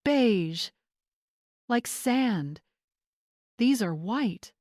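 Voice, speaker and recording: A woman's voice: medium pitch, lively intonation, extremely slow, a little mellow, no echo, clean studio sound, pitched above the performer's own voice. A woman voicing a spoiled, bossy young bride influencer in a narrated story, snapping, scornful and entitled. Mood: demanding, outraged.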